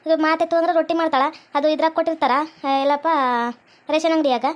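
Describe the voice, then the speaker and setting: A woman's voice: sits high, steady tone, very fast, highs cut off, close to an everyday voice. A woman playing chatty, bickering village farm labourers in a cartoon skit, garrulous and colloquial, with a North Karnataka accent. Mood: grumbling, hurried.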